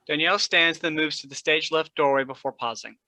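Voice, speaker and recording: Male stage directions reader: scripted narration, mid pitch, bright forward tone, dry close sound. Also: a little fast, dry recording.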